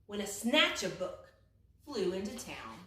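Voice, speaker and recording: A woman reading a children's picture book aloud like a teacher at storytime, with dramatic hushed and raised phrases. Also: mid-range voice, very animated, unhurried, background noise. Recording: echoey room, distant from the mic.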